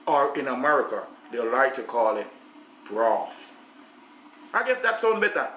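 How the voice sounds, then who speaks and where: A man's voice: mid-range voice, big pitch swings, unhurried, pushed and bright, reverberant, a little hiss or hum, poor audio. A middle-aged Jamaican man hosting a casual home cooking vlog, talking to the camera in a chatty way with patois asides. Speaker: cooking channel host. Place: home kitchen, indoors. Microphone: camera on the counter.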